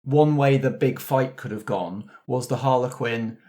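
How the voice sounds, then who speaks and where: A man's voice: mid-range voice, a little slow, warm tone. A man speaking as game master and host of a role-playing show. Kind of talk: relaxed post-game discussion and scripted credits.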